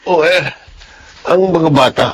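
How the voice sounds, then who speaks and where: A gravelly, concerned older brother. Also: male voice, on the high side, slow pace, a little hiss or hum. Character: concerned older brother, a middle-aged uncle trying to calm. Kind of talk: melodramatic radio drama acting.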